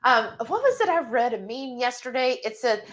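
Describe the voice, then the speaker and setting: A woman's voice: a little high, sing-song, fairly bright tone. A woman hosting a live cooking stream, chatting casually and reading viewer comments aloud in an encouraging tone. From Texas. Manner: chatty and encouraging, with drawn-out asides.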